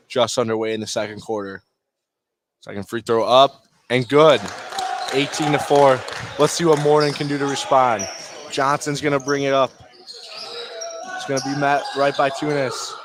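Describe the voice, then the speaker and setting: A man's voice: mid-range voice, a bit bright. A man doing live radio play-by-play of a basketball game in short, clipped phrases. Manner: clipped, terse running call in short phrases. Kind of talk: live sports radio commentary. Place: indoor high school basketball game. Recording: clear background noise of a gym.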